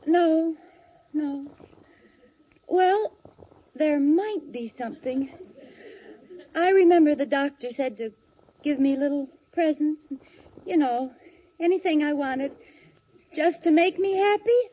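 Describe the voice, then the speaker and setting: A high-pitched scheming radio housewife. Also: female voice, slow, long pauses, dark tone. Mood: feigned frailty. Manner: theatrical, with exaggerated groans and put-on frailty. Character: scheming middle-class housewife feigning sickness. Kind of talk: 1950s radio sitcom acting. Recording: muffled old radio recording, audience, hiss.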